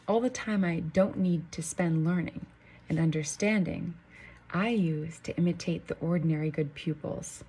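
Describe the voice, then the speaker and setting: A woman reads a novel aloud in the first person, her voice low, dark and husky with a gravelly edge. Her delivery is measured, dry and deliberate. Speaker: audiobook narrator.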